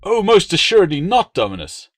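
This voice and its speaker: A man's voice: high-pitched, wildly swooping pitch, brisk, a bit bright, no echo, studio quality, pitched above the performer's own voice, exaggerated voice. A man voicing a wary, fawning dog breeder in an audiobook, with an obsequious salesman's manner. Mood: fawning, insistent. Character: wary, fawning male dog breeder hawking fighting hounds. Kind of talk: audiobook character dialogue.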